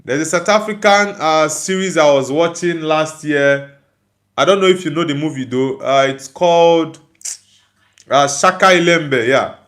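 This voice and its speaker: A man with a Nigerian accent speaks in a slightly high-pitched voice. He hosts a football livestream in a casual, conversational style, reading out comments and answering them. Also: measured pace, a bit bright.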